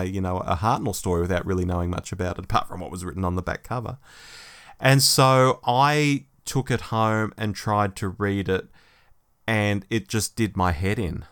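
A man's voice: low-pitched, a bit bright, dry recording, clean studio sound. An Australian man in his forties co-hosting a podcast in a casual, reflective, conversational style. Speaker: podcast co-host. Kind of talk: casual chat, discussion.

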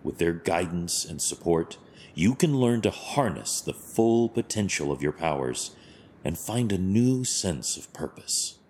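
An actor playing a stern but compassionate masked vigilante hero in an audio drama, speaking in a measured, formal, solemn way. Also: male voice, deep voice, lively intonation, darkish tone, a little hiss or hum. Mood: gently reassuring.

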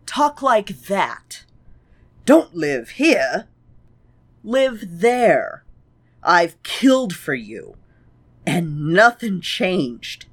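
Co-host, slow-paced, reflective, quotes lines with feeling. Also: mid-range voice, sing-song, a bit bright. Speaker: podcast co-host reviewing a show. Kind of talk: casual discussion.

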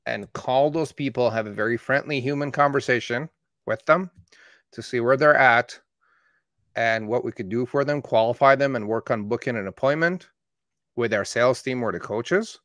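Agency owner type, man in his 30s, plain steady delivery, dry close mic. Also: medium pitch, moderate pace, no room sound. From born in Iran, raised in Canada. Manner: steady, matter-of-fact storytelling. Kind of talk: casual conversation.

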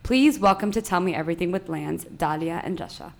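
A woman hosting a podcast, reading an earnest introduction and then interviewing two guests with measured questions. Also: fairly low pitch, gravelly. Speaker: podcast host.

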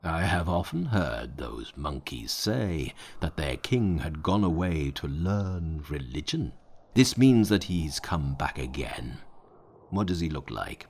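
Hulking storybook demon, low and slow, scornful drawl, voiced by a man. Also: big pitch swings, creaky, darkish tone, slightly rough, full character voice. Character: huge arrogant male demon warrior. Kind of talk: storybook reading.

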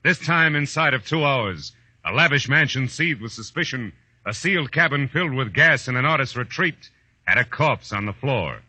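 An actor playing a tough, cynical private eye in a 1940s radio drama, with a wry, clipped hardboiled delivery. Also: male voice, mid-range voice, expressive, moderate pace, bright, forceful tone, husky, dry recording, heavily acted. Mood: grim, emphatic. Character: tough, cynical male private eye narrating his case. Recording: muffled vintage radio recording with music.

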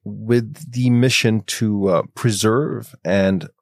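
Guest, male, low-ish voice, careful and deliberate, dry close sound. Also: fairly even intonation, no room sound. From Switzerland, France and Belgium. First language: French. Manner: measured and careful, pausing often with fillers. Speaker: guest, conservatory founder. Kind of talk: explanatory interview talk.

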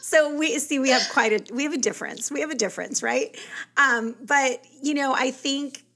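A woman's voice: high voice, moderate pace, pushed and bright. A woman in her forties co-hosting a podcast, chatting casually with lots of "you know"s. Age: 40s. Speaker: podcast co-host. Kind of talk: casual chat.

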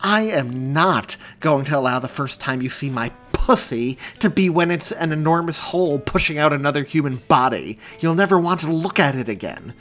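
A man's voice: mid-range voice, normal speed, faint music behind, voice pushed up, lightly acted. A man voicing a snappy, panicked pregnant woman in labor in an audiobook, breathless and insistent. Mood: panicked rage. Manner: breathless, sharp-tongued, insistent.

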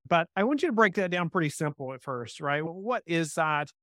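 A man's voice: a little high, expressive, fairly quick, a bit bright, no echo, studio quality. A man hosting a podcast, upbeat and piling on questions, often tagging on "right?". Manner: upbeat, stacks questions, says "right?" a lot. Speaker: podcast host. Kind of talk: podcast interview.

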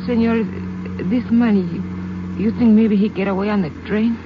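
A frightened young Mexican woman with a breathy voice, faltering and pained, as voiced by an actress. Her lines come through a muffled, lo-fi old radio broadcast with a steady hiss underneath. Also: medium pitch, moderate pace, lightly acted. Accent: Mexican Spanish. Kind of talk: 1950s radio drama acting.

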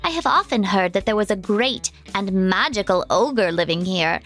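A woman's voice: medium pitch, lively intonation, normal speed, fairly bright tone, faint music behind, close to an everyday voice. A woman voicing a bold, wily male storybook cat with a theatrical, commanding and sly manner. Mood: sly flattery. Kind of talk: children's storybook character voice.

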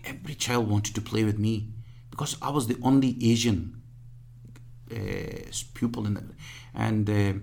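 A man, being interviewed and speaking haltingly with many restarts; English is his third language. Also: on the low side, steady tone, a little mellow. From Pakistan. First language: Punjabi or other regional language; Urdu second, English third. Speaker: guest. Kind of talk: casual interview.

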